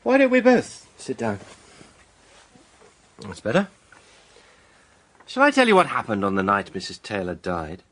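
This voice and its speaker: A man's voice: lowish voice, wildly swooping pitch, a little slow, pauses often, fairly bright tone, exaggerated voice. An actor playing a self-assured, stern man laying out a crime, theatrical and accusatory in a radio drama style. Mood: knowing, controlled.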